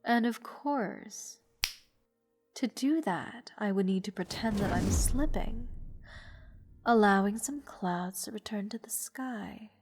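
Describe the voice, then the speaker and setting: A woman voicing a playful, haughty goddess in an intimate fantasy audio roleplay, teasing and condescending toward a captive mortal in measured, theatrical speech. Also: medium pitch, darkish tone. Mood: pondering. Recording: long reverb with music behind. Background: orchestral music.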